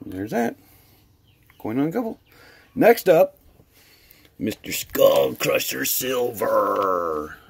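American guy doing a casual hobby vlog, husky voice, goes up and down a lot, really appreciative. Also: mid-range voice, big pitch swings, slow pace, long pauses. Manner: enthusiastic, appreciative. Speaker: coin hobby vlogger. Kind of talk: casual chat to camera while opening mail.

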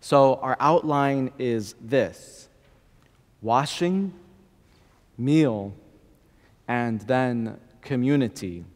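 Slow, measured young priest preaching. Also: male voice, mid-range voice. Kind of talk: sermon. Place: large church, indoors. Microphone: lectern microphone. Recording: long church reverb.

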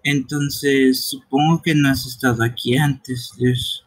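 A man's voice: medium pitch, fairly even intonation, takes it slowly, husky. A man streaming a live fan dub of a video game, reading its dialogue aloud in character. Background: game music.

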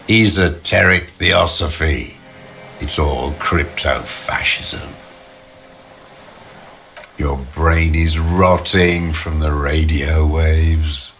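An actor playing a bitter, sickly old communist deserter in a video game, scornful and ranting. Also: male voice, a bass voice, a bit bright, exaggerated voice. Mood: contemptuous. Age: older. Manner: scornful, ranting, spitting insults. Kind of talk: dramatic video game voice acting.

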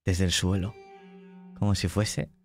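Slow dramatic male game master. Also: low-pitched, level tone, soft background music. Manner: slow, dramatic, describing scenes. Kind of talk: narrating a tabletop horror game.

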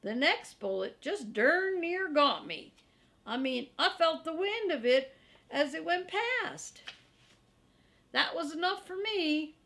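A woman in her sixties reading a children's chapter book aloud in a folksy, deliberate way, as first-person narration. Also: high voice, lively intonation, fairly bright tone. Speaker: storybook reader for children. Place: small furnished room indoors, seated in an armchair.

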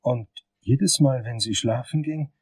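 An actor playing a hesitant, smitten dental patient in a crime radio drama, speaking softly and haltingly, a little away from the mic. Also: male voice, medium pitch, breathy edge, dry recording, far from the mic. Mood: wistful. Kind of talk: German crime radio play acting.

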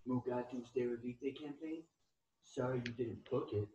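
A man's voice: mid-range voice, moderate pace, dark tone, slightly rough. A man playing a vain, touchy young male model with a cocky, taunting manner in an amateur comedy table read over a video call. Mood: petty, indignant. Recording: muffled, noisy video call.

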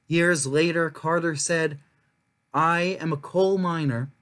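A young man reading a children's picture book aloud as a storyteller, in measured, clearly phrased narration. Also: a little high, steady tone, fairly bright tone. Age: young adult. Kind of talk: scripted narration, story time read-aloud.

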